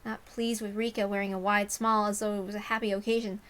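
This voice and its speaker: A woman narrating a visual novel aloud in an expressive style, with casual asides. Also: mid-range voice, fast talker.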